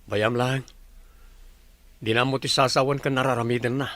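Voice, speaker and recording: A man's voice: mid-range voice, flat delivery, moderate pace, fairly bright tone, no echo, lightly acted. An actor playing a stubborn young businessman in a radio drama, blunt and dismissive in manner.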